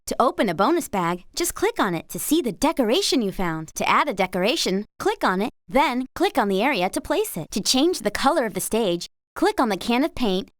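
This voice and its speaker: Cheerful young woman rider, video game guide, lively intonation, dry close studio sound, encouraging. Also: fairly high pitch, no echo. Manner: clear, upbeat, encouraging. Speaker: game lead character and tutorial guide. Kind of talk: scripted video game instruction and dialogue.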